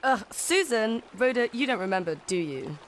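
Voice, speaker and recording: A woman's voice: on the high side, lively intonation, normal speed, a little hiss or hum, close to an everyday voice. A woman acting a sociable character who recalls girls' school in a literary drama. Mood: reminiscent, teasing.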